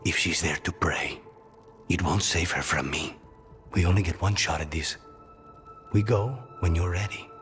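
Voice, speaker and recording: An actor playing a brooding, reserved male assassin in a video game, measured and deadpan, with music behind him. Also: low-pitched. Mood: grim, determined.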